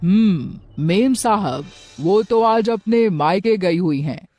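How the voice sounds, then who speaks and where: A man's voice: sits high, animated, takes it slowly, warm tone, soft background music, full character voice. A man playing a lecherous, insinuating master of a household in an audio story. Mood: sly, suggestive.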